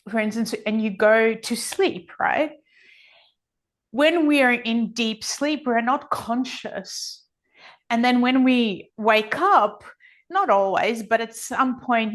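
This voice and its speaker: A middle-aged woman from Australia speaking as an interview guest, explaining things earnestly and conversationally with emphasis on key words. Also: mid-range voice. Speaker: interview guest, energy medicine author.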